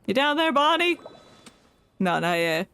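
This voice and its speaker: A woman's voice: sits high, sing-song, unhurried, fairly bright tone, clean tone. A woman giving casual live game commentary, giggly and chatting away to herself and to the characters in the game. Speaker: game streamer. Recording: background music under voice.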